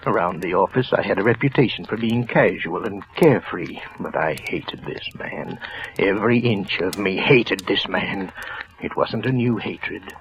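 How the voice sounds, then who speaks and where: An actor playing a nervous, trembling office clerk who narrates in a hushed, tense voice, often sinking to a whisper, in a 1940s radio drama. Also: male voice, sits low, expressive, normal speed, highs cut off. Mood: tense, brooding. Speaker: narrator and lead character. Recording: old radio broadcast with music.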